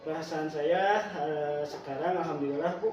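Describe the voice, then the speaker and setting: Echoey, distant, higher-pitched hesitant man. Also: moderate pace, muffled recording, over music. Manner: hesitant, polite, deferential. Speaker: teacher being coached. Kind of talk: role-play coaching conversation, answering questions. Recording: very echoey room, distant mic, noisy.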